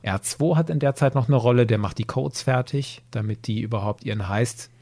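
A man's voice: medium pitch, brisk, a little mellow. A man chatting informally on a podcast, retelling a story and checking in with his partner. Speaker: podcast co-presenter.

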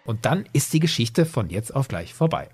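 A man's voice: medium pitch, very animated, moderate pace, very noisy. A man chatting informally on a podcast, retelling a story and checking in with his partner. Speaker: podcast co-presenter.

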